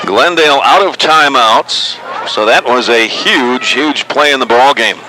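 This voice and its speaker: Male American sports announcer, medium pitch, live football radio commentary, noisy outdoor ambience. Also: a little slow, sharp tone.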